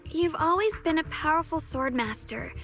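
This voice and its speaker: Earnest high-voiced young swordswoman. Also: animated, moderate pace, fairly bright tone. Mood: resolute. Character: earnest young swordswoman, younger sister confronting her brother. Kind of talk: video game voice acting. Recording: game music behind the voice.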